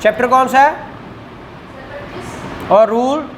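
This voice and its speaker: A man's voice: extremely high pitch, takes it slowly. A man from Pakistan giving a law lecture, who asks questions and answers them himself and mixes in English legal terms. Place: room with a whiteboard. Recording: roomy echo with faint background noise.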